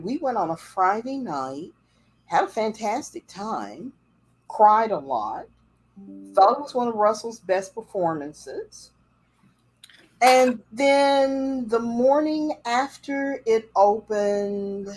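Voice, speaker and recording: A woman's voice: medium pitch, poor audio. A woman hosting a livestream, chatting casually and telling stories. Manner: rambling, chatty storytelling. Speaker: livestream host. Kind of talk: casual chat. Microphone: livestream setup.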